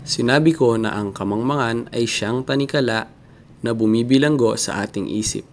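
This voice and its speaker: A man playing a misunderstood old philosopher in a grave, deliberate, oratorical dramatic monologue. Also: medium pitch, natural voice. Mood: impassioned, reproachful. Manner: oratorical, deliberate, rhetorical questioning. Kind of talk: theatrical dramatic monologue in Filipino.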